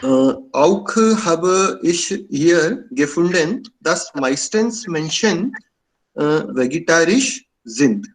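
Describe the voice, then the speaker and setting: A man from India talks slowly in a non-native tongue. He stops often and fills the gaps with pauses. His voice sits somewhat high for a man. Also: animated, low-quality recording. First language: Indian language. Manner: halting, many fillers. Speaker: club member sharing views. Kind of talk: hesitant casual talk in learner German.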